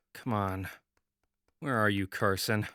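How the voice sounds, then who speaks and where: Man acting a bright, sardonic young gamer guy. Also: on the low side, smooth. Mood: muttering, impatient. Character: excitable, sardonic 28-year-old male gamer. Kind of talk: audio drama acting.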